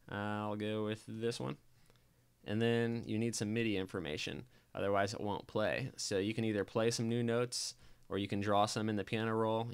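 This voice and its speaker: A man narrating a software tutorial in a casual, informal, step-by-step instructional way. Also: on the low side, clean tone. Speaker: tutorial presenter.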